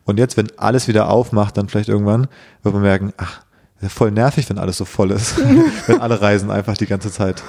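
A young man, slightly hoarse, chatting casually as a podcast co-host and rambling with many fillers. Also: on the low side, very animated, brisk, darkish tone.

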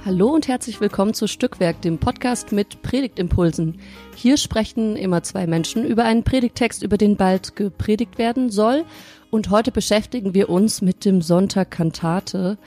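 A woman hosting a podcast on sermon ideas, chatting in a friendly way and reading a scripture passage aloud in a measured voice. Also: mid-range voice, dark tone, soft background music. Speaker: podcast host. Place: studio or quiet room, sitting across from the guest.

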